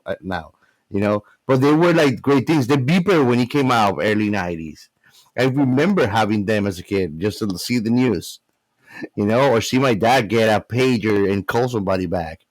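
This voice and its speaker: Low-voiced man, casual chat. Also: no room sound, low-quality recording. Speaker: podcast co-host.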